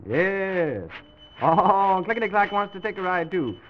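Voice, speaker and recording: A man hosting an old-time children's radio show, speaking gently and simply to small children as he tells a make-believe story. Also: sits high, animated, a little slow, a little hiss or hum. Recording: dull old radio recording with music.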